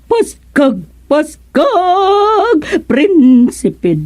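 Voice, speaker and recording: A woman playing a scheming, bossy aunt in a Filipino radio comedy drama, with a Filipino accent and a cackling, drawn-out delivery. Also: extremely high pitch, big pitch swings, darkish tone, dry recording, studio quality, heavily acted. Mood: sly, commanding. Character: scheming, cackling aunt who orders her nephew about.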